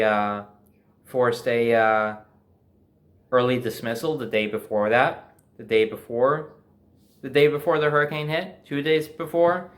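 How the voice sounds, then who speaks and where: A man's voice: medium pitch, fairly bright tone. A young adult man with an American accent tells a personal story in a casual, conversational and emphatic way. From New Jersey, United States. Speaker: storyteller, host.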